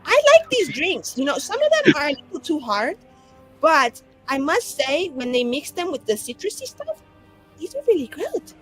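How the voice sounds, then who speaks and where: A woman's voice: sits high, big pitch swings, moderate pace, fairly bright tone, soft background music, pitched above the performer's own voice, poor audio. Woman acting a brash, tipsy, rambling tavern drinker. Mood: tipsy demanding. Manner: rambling, theatrical, teasing. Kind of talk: improvised tabletop roleplay.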